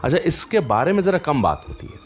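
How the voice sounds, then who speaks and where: A man's voice: a little high, big pitch swings, fairly quick. A man presents a music radio show, telling anecdotes in a chatty, conversational way that speaks straight to the listener. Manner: chatty, addresses listeners directly with frequent rhetorical fillers.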